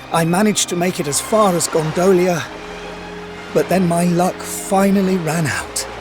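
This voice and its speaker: A man voicing a glum, worried male blacksmith who speaks slowly and warily, in a video game cutscene. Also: a little high. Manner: glum, wary, slow storytelling. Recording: game audio with loud music behind.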